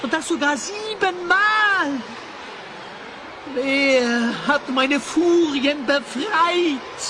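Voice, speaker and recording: A man's voice: very high voice, animated, extremely slow, heavy background noise, full character voice. An actor playing a megalomaniac sorcerer in an audio drama, pompous and theatrical, drawing out his cries. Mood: raging despair. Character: raving megalomaniac sorcerer, male master of time. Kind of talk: German audio drama acting. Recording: dramatic music behind the voice.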